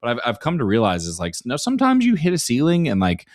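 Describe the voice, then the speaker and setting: A man's voice: medium pitch, huge pitch swings, fast talker, no room sound. Man in his thirties, dry close sound. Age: 30s. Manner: loose and swearing, many "like" fillers. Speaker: podcast host and coach. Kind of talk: casual podcast chat.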